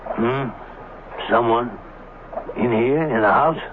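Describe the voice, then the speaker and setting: An actor as a curt scientist husband, tinny sound. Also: male voice, mid-range voice, takes it slowly, natural voice. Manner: curt, impatient, domineering. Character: cold, irritable, secretive scientist doctor and husband. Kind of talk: old-fashioned radio drama acting. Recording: tinny, band-limited, noisy radio broadcast recording.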